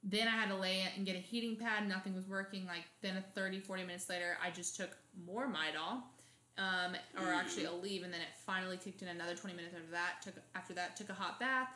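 Bright-voiced chatty woman. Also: fairly low pitch, steady tone, fast, roomy sound. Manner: chatty, dramatic storytelling with frequent laughs. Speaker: podcast co-host. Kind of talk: casual chat.

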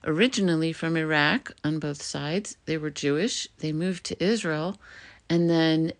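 Middle-aged woman, podcast host, solo reflective talk, slightly low voice, gets animated. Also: measured pace. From United States.

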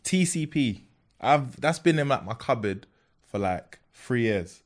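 A man, a podcast guest telling quick, slangy stories in casual chat. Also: expressive. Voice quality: deep voice.

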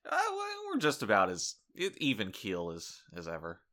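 A young man co-hosts a casual podcast with a bright, pushing tone and a voice that sits a touch low. His humor is dry and deadpan, and he often stops and restarts mid-sentence. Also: normal speed. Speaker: podcast co-host. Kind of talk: casual comedic chat.

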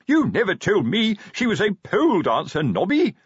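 A man's voice: a little high, huge pitch swings, moderate pace, husky, no echo, muffled recording, voice pushed up, heavily acted. A man voicing a pompous, fatherly older police sergeant in an audiobook, hesitant and prudish in his colloquial talk. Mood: scandalised. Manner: hesitant, self-important, colloquial working-class phrasing. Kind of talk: audiobook character dialogue.

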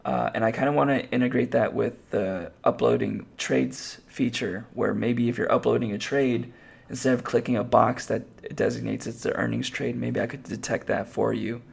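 Hoarse raspy-voiced man hosting a podcast. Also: mid-range voice, steady tone, moderate pace. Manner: rambling, with many fillers and restarts. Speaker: podcast host. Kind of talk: casual solo podcast talk.

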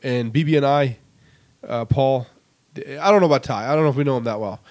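A man's voice: mid-range voice, quick pace, darkish tone. A man co-hosting a podcast, speaking bluntly and emphatically in casual chat. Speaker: podcast co-host.

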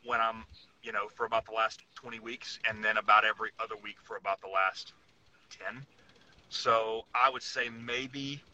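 A man with a very bright, forceful voice talks casually as a podcast co-host. He lays out his opinions in an instructive way, like a lifter explaining his method. The recording is slightly dull and lo-fi. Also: mid-range voice, slight background noise.

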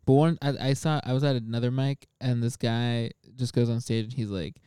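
A man's voice: mid-range voice, steady tone, a little fast, warm tone, clear, resonant tone, no echo, studio quality. A young man chatting casually as a podcast co-host and comic, rambling with lots of fillers and laughing often. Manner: rambling, laughs a lot, many fillers and restarts. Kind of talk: casual chat.

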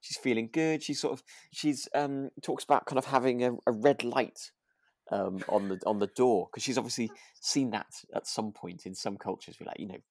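A man chatting casually as a podcast co-host, with a halting way of restarting his sentences. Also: medium pitch, no room sound. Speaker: actor. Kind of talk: casual chat recapping a radio drama.

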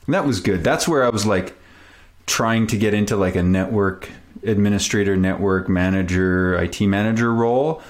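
A man's voice: lowish voice, normal speed. A man in his forties from Canada, a native French speaker, talking casually and reflectively as a guest in an interview. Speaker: podcast guest.

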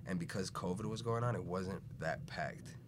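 A man's voice: deep voice, moderate pace. A young man, born in Venezuela and raised in Chicago, telling stories as a podcast guest in a rambling, laid-back way that leans on "bro" and "you know". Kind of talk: casual conversational storytelling. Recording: steady background noise.

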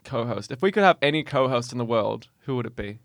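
A young man in his twenties chatting on a homemade radio show, rambling and poking fun at himself. His voice sits at an ordinary middle pitch for a man. The studio sound is close and dry. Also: animated, fast, creaky, no room sound. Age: 20s. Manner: rambling, self-mocking, laughs often. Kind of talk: casual chat. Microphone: studio mic, moves back and forth from it.

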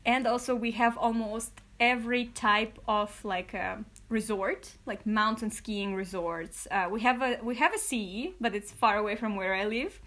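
Young woman, higher voice. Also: normal speed, few pauses, fairly bright tone. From Kazakhstan. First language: Kazakh and Russian. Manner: chatty, laughs often, many fillers and restarts. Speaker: interview guest. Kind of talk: casual interview answers.

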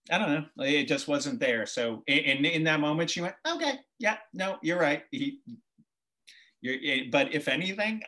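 An American man in his forties, an interview guest, telling personal stories in a casual, animated way and acting out bits of dialogue. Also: a little high. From Boston, United States. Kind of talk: casual conversational storytelling.

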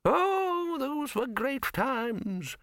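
A man's voice: extremely high pitch, big pitch swings, very slow, fairly bright tone, clear, resonant tone, dry recording, studio quality, voice pushed up, exaggerated voice. A man voicing a very old, rambling male wizard who chuckles and trails off into memories. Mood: gleeful. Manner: wheezy reminiscing, chuckling, trailing off.

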